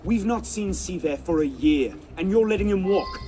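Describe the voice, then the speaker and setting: A man's voice: high voice, lively intonation, normal speed, mellow, soft background music. A man playing an impatient, headstrong young assassin in a video game, indignant and pressing.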